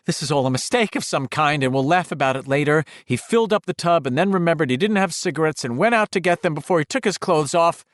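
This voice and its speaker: A man's voice: fairly high pitch, expressive, fast talker, few pauses, no room sound, voice pushed up, close to an everyday voice. A man narrating an audiobook, voicing the fretful, insistent thoughts of a panicked housewife. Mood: frantic reassurance. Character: panicked housewife's anxious, self-reassuring inner voice.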